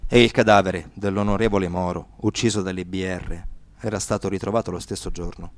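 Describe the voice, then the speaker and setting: A man narrating a radio theatre piece with dramatic delivery, moving between hushed and emphatic phrases. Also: deep voice.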